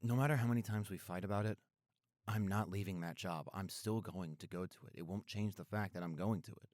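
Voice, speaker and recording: A man playing a caring, apologetic young boyfriend in an intimate roleplay audio, speaking earnestly and gently. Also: low voice, fairly quick, dry recording, clean studio sound, natural voice. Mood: earnest, reassuring. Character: apologetic, caring young boyfriend soothing his upset girlfriend.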